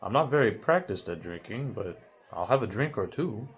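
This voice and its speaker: Man voicing a husky, awkwardly formal adventurer. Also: on the low side, expressive, moderate pace, dull audio, exaggerated voice. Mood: reserved. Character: stiff, polite, literal-minded male adventurer. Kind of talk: fantasy audio drama acting.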